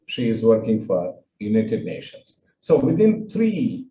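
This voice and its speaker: An older man from India with a Tamil accent, giving a halting personal talk with many fillers. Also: lowish voice, very animated, dark tone, echoey room, highs cut off, a little hiss or hum. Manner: halting, with frequent fillers and restarts. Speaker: speaker recounting his life.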